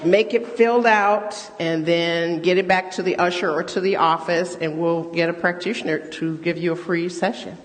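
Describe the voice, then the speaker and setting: A woman's voice: on the low side, fairly even intonation, moderate pace, hardly any pauses. A woman leading a church service, speaking to the congregation with informal, playful asides. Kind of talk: warm-up announcements and call-and-response to a congregation. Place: large church hall, amplified to a congregation. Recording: echoey hall, loud music and congregation.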